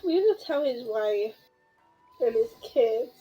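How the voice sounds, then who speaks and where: Young female gamer: husky tone, slow-paced, slangy casual reactions, playful, game music behind. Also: fairly high pitch, expressive, warm tone. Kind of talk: casual gameplay chat.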